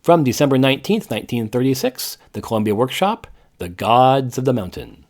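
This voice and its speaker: A man's voice: mid-range voice, expressive, brisk. A man hosting a podcast, reading a plain, informative scripted introduction. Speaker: podcast host.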